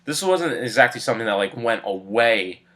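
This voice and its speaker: A man in his early twenties, a little congested from a cold, talking candidly in a relaxed personal vlog with lots of fillers. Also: mid-range voice, normal speed. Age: 20s. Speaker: vlogger.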